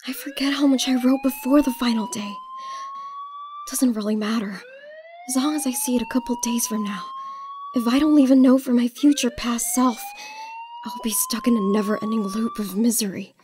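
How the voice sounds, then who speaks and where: A woman's voice: on the high side, sing-song, normal speed, noisy background. A woman voicing a guilt-ridden, hesitant young woman. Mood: unsure. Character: anxious young woman who can rewind time. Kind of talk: fan animation voice acting.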